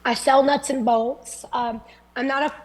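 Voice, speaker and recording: High-voiced sick woman from Louisiana. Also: steady tone, measured pace, darkish tone, low-quality recording. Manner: earnest, rambling with restarts. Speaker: political candidate. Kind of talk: forum answers. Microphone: video call.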